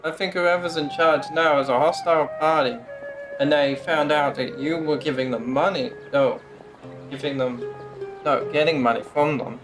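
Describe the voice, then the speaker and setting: A man's voice: a little high, steady tone, normal speed, low-quality recording. A man giving casual game commentary as a streamer, thinking aloud in a conversational way. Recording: game music behind. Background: game music with guitar.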